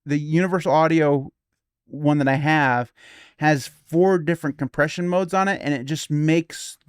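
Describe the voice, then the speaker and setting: Man, 40, tech podcast co-host; rambling, stumbly delivery, smooth voice, dry close sound. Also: a little high, fairly even intonation, normal speed, no room sound. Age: 40s. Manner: rambling, stumbling restarts, laughs easily. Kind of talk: casual tech chat.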